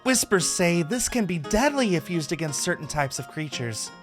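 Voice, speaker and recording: A cheerful female peddler in a fantasy visual novel, played by a man. The voice is pitched high and swings around with excitement. She is flirty, teasing and theatrical, gushing like a keen saleswoman. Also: moderate pace, faint music behind. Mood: excited sales patter. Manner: gushing, teasing, excited sing-song delivery. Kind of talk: visual novel character read-aloud.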